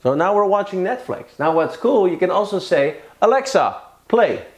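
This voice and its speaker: A man's voice: high-pitched, very animated, moderate pace. A middle-aged man demonstrating a product step by step in an echoey living room. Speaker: product demo presenter.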